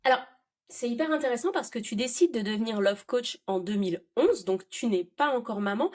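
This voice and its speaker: A woman's voice: on the high side, lively intonation, a little fast, a little mellow. Woman podcast host, curious and friendly interviewer. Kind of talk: interview questions.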